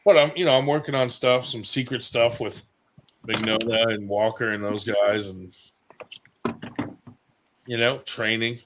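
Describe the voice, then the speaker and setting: A man's voice: medium pitch, steady tone, a little slow, long pauses, noisy background. A middle-aged man in a casual, rambling chat, swearing freely as he talks through his technique. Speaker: arm wrestler on a livestream show.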